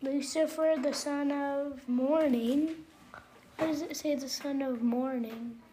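Hesitant young woman, high and slow; noisy home take. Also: fairly even intonation, warm tone. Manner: hesitant, halting, with many ums. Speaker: family member. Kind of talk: casual family discussion.

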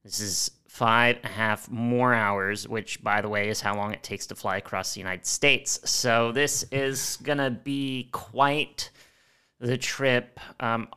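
Crisp, bright-voiced man in his thirties. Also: mid-range voice, fairly even intonation, takes it slowly. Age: 30s. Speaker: podcast co-host. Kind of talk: introduction and casual chat.

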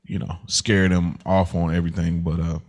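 A man's voice: deep voice, level tone, normal speed. A man hosting a podcast, casually reviewing television in an informal, slangy way. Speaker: podcast host.

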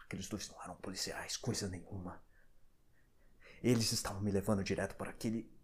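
Guy acting a deep voiced panicky dad in a radio play, whispery then loud, stammering, noisy background. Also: fairly even intonation, fast talker, natural voice. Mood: panicked. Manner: frantic, breathy, stammering, lurching from whispers to shouts. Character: panicked, paranoid husband and father fleeing an alien invasion. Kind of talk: audio drama acting.

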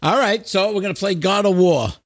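A man's voice: high voice, fairly quick, dry recording. A man hosting an interview show in a casual, chatty style. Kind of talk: casual chat.

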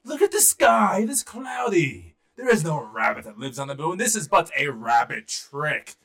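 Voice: mid-range voice, sing-song, moderate pace, fairly bright tone. A storyteller voicing a big haughty elephant king with a pompous, emphatic delivery. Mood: dismissive.

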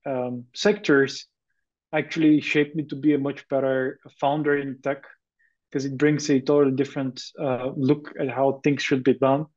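Man on a muffled line. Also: on the high side. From Bulgaria. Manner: hesitant, many fillers, earnest. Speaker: guest entrepreneur. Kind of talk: podcast interview.

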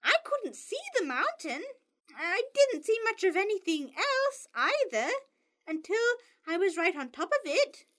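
Shrill, bossy female hawk in an audiobook; very high, bright, leaping pitch. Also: big pitch swings, measured pace, full character voice. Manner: sharp, bossy, scolding. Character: shrill scolding female marsh harrier bird.

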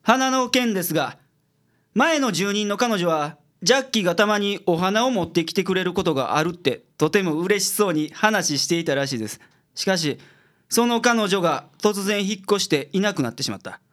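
Man acting a blunt Kansai detective, lively intonation. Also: sits high, moderate pace. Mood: composed, explaining. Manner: explanatory, blunt with his juniors. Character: gruff, logical male private detective, secretly soft-hearted. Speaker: detective in a radio drama. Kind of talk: radio drama acting.

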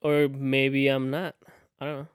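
A man's voice: medium pitch, flat delivery, unhurried, clean tone, no echo, clean studio sound. A man, a podcast co-host teasing his friends in casual chat. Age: 30s. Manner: joking, teasing, playful.

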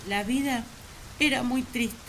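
A woman's voice: a little high, a little slow, a little hiss or hum. An actress playing an earnest, lovesick first woman who confides her feelings in a sentimental radio drama monologue. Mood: sad, hurt.

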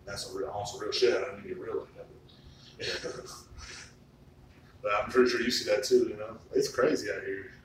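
A man's voice: lowish voice, fairly even intonation, moderate pace, pauses often. A man in his thirties hosting a casual chat, rambling and swearing freely with lots of "like". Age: 30s. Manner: loose, profane storytelling with frequent "like". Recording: echoey room, far from the mic, faint noise.

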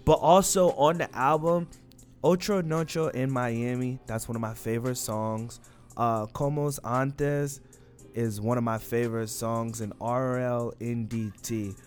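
A young man talks in a relaxed, slangy way on a casual podcast, drawing out his shout-outs. His voice sits in a middle register, and faint music plays behind him. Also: medium pitch. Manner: laid-back, slangy, drawn-out shout-outs. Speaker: podcast co-host. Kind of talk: casual chat about music.

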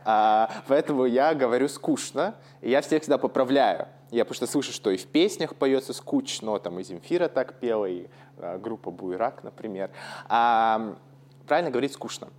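A man's voice: medium pitch, animated, moderate pace, darkish tone. A man from Russia co-hosting a podcast, speaking casually and thinking aloud in digressions. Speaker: podcast co-host.

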